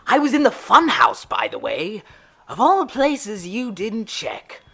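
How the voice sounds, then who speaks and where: A woman playing a sarcastic, sinister male clown villain in an audio drama, with a creaky voice and mocking, drawn-out delivery that swings from murmurs to shouts. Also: medium pitch, wildly swooping pitch, faint music behind, heavily acted. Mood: cold, gloating.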